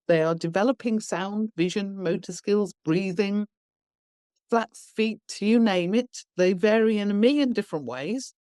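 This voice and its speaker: Emphatic woman with a mellow voice. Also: medium pitch, dry recording, studio quality. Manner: emphatic, blunt, explains with everyday examples. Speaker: education founder, guest. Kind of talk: podcast interview.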